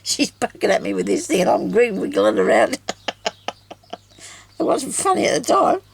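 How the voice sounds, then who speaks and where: An older woman with a deep, raspy voice, chatting casually and laughing at herself while she does craft work. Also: huge pitch swings, moderate pace, a little hiss or hum. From Australia. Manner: chatty, self-deprecating, laughs often. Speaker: craft vlogger.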